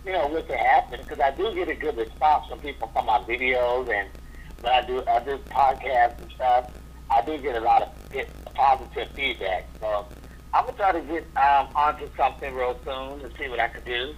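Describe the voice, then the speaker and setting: A man's voice: mid-range voice, normal speed, a little hiss or hum. A man, a drag performer, talking as a guest in a casual interview, sassy and blunt in his opinions. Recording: dull lo-fi recording.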